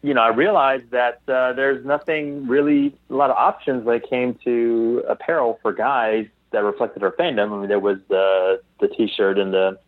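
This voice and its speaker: A man talking casually as an interview guest, often pausing to search for his words over a call. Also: medium pitch, steady tone, dry recording. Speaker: guest, founder of a geek apparel company. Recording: thin phone-like call audio.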